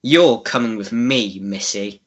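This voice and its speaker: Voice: medium pitch, lo-fi sound, lightly acted. An actor playing a gloating, scheming male villain in a theatrical radio melodrama. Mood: menacing, gloating. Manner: slow, gloating, theatrical delivery. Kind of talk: old-fashioned radio melodrama acting.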